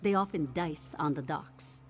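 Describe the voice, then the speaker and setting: A woman's voice: deep voice, unhurried, faint music behind. A woman voicing a defiant, scheming ship captain in a video game, with a sardonic, colourful manner. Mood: sly, amused. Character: wry, scheming female ship captain, hard-drinking and defiant. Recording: noisy ambient background.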